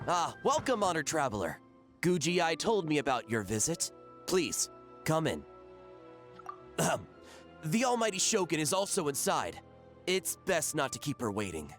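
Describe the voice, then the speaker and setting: A man's voice: a little high, sing-song, normal speed, long pauses, a bit bright, faint music behind. A man voicing a courteous, deferential attendant in a video game, speaking politely and formally. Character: courteous, deferential male attendant welcoming guests. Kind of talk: animated video game dialogue.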